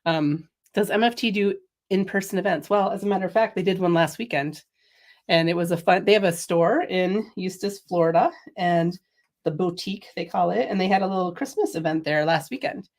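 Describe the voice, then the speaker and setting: Middle-aged woman, lowish voice, chatty craft host, slightly sniffly from a cold. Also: a little fast. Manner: chatty, laughs often, reads viewer comments. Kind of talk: casual product demo chat.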